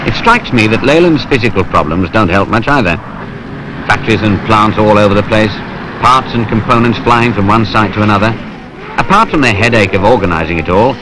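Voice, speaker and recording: A man's voice: lowish voice, lively intonation, fairly quick. A British man giving wry, colloquial, conversational narration for a film. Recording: noisy 1970s industrial film soundtrack.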